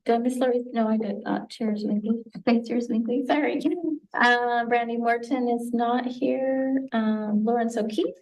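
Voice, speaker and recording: A woman reads the roll at a city board meeting in a brisk way, correcting herself when she trips over a name. Her voice sits a little higher than most women's. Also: flat delivery, a little mellow, poor audio. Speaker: board staff taking roll call. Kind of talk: reading names at a meeting.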